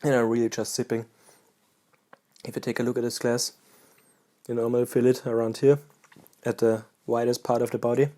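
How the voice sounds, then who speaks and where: A man from Austria speaks English with an Austrian German accent in a relaxed, halting chat to his viewers. His voice is mellow and dark, and his pitch stays flat, with little rise or fall. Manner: halting, relaxed, pauses mid-sentence. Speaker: pipe-smoking vlogger. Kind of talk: casual chat to camera.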